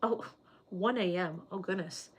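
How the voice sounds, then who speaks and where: A woman chatting on a casual home livestream, reading viewer comments aloud as she goes. Her voice sits low, and she talks loosely, with lots of "like" and "um". She sounds as if she is speaking into a webcam setup. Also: measured pace, slightly rough. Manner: chatty, loose, many fillers like "like" and "um".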